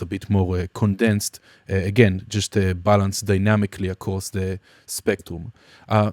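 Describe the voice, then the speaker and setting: A man teaching music production in a casual software demonstration, explaining informally and somewhat hesitantly step by step. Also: on the low side, dry recording, studio quality. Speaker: music production tutorial instructor.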